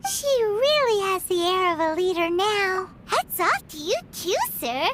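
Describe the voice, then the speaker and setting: A woman voicing a small, excitable, childlike fairy companion in a video game. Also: very high voice, measured pace, very noisy. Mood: chirpy admiration. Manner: speaks of self in third person, exclaiming. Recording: loud background music. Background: game music.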